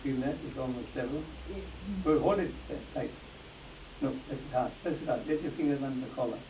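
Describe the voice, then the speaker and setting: A man's voice: mid-range voice, steady tone, a little fast. A man teaching face reading in a live demonstration, addressing volunteers directly and repeating his instructions as he goes. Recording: echoey, distant mic, dull sound with hiss.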